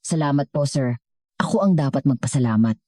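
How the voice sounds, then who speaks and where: A woman's voice: rumbling low, animated, brisk, mellow, no echo, clean studio sound. A woman voicing an earnest, polite teenage shoeshine boy in an audio story. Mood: humble.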